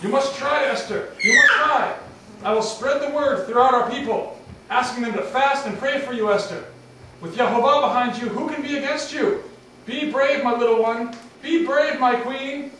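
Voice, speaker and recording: A man's voice: very high-pitched, moderate pace. Man playing a pleading old-world uncle, very echoey. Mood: urgent, solemn. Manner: solemn, pleading, theatrical. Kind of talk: amateur puppet show acting. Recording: echoey room, distant mic, faint noise.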